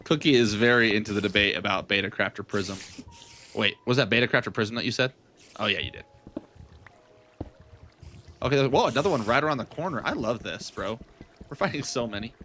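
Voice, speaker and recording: A man's voice: fairly low pitch, brisk, long pauses, sharp tone, slight background noise. A young man chatting casually as he hosts a gaming livestream, rambling and thinking aloud as he answers his viewers. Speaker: livestream gamer host.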